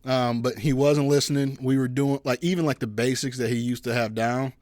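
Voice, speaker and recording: A young man co-hosting a podcast about fatherhood, talking casually about his toddler in an easygoing, halting way with many restarts. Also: medium pitch, fairly even intonation, a little mellow, dry recording, studio quality. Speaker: podcast co-host. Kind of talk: casual chat.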